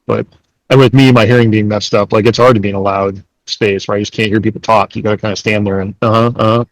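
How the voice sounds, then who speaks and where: A man's voice: on the low side, steady tone, fast, no room sound, poor audio. A man chatting casually as a podcast host.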